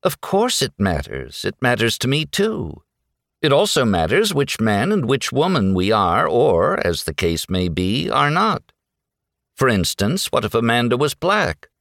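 A man's voice: mid-range voice, wildly swooping pitch, a little slow, vocal fry, a bit bright, no echo, clean studio sound, pitched above the performer's own voice, full character voice. A man voicing a sardonic, morose companion who talks in a matter-of-fact, testy way in a story reading.

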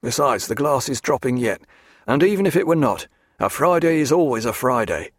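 A man's voice: mid-range voice, expressive, brisk, no echo, natural voice. A man voicing a dogged, superstitious sailor in an audiobook, deferential yet gloomy, with emphatic old-fashioned nautical speech. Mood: dogged, foreboding.

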